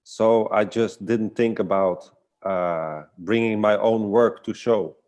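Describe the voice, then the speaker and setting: Very deep-voiced man, thoughtful and hesitant. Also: slow pace, a little mellow. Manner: hesitant, searching for words. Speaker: guest artist and storyteller. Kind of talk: casual reflective online conversation.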